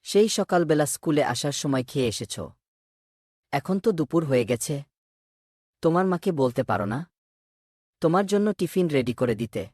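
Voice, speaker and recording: A man voicing a kindly, concerned male schoolteacher in a cartoon, speaking gently and earnestly. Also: fairly high pitch, animated, normal speed, pauses often, breathy edge, no room sound, studio quality. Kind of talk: cartoon voice acting.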